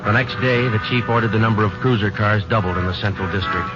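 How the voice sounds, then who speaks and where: A man's voice: fairly low pitch, fast talker, natural voice. A man playing a terse, businesslike police detective in a 1940s radio drama, with clipped, matter-of-fact delivery. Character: terse, businesslike male police detective narrating his case. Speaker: actor, lead and narrator. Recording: dull 1949 radio recording with music.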